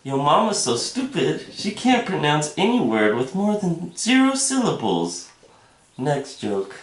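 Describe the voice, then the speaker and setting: A man putting on a high falsetto to play a vain, sly female Russian spy in a comic monologue, with a mock Russian accent. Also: huge pitch swings, a little slow. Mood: sly boasting. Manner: falsetto, mock Russian accent, swooping sing-song pitch. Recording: echoey room, mic at a distance.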